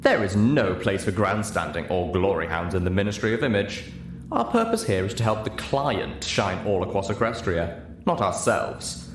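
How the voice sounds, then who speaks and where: A man's voice: on the low side, sing-song, moderate pace. A man voicing an elegant, refined female unicorn, controlled and politely disdainful, in an audiobook story. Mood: politely cutting. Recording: roomy echo, clear background hiss.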